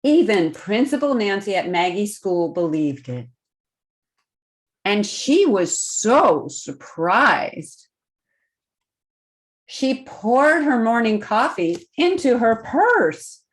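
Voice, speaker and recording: A woman hosting a children's storytime, reading a picture book aloud expressively and pausing to put questions to young listeners. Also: medium pitch. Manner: expressive, deliberate, simple phrasing with questions to children.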